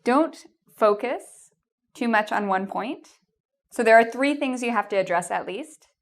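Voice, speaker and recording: A woman's voice: on the high side, expressive, measured pace. Encouraging Canadian woman teaching. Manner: encouraging, with frequent "um" and "okay?". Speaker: English teacher. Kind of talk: video lesson with tips.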